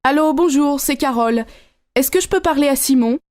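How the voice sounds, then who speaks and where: A woman acting out scripted dialogues for learners, speaking in a clear, friendly way. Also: high-pitched, fairly quick, a little mellow, dry recording, studio quality.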